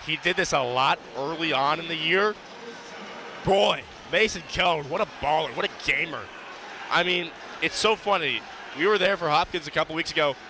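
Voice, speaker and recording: A male color commentator with a somewhat high voice talks through live sports in an informal, slangy way. Clear venue noise runs behind him on the broadcast. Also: moderate pace. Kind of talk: conversational live sports analysis. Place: live at a lacrosse game. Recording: noisy live stadium broadcast. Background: venue ambience and noise.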